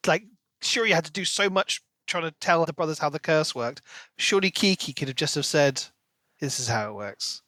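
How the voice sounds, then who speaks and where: A man's voice: fairly high pitch, normal speed, pushed and bright, no echo. A British man co-hosting a podcast, casually discussing a book in an analytical, questioning way. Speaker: podcast co-host.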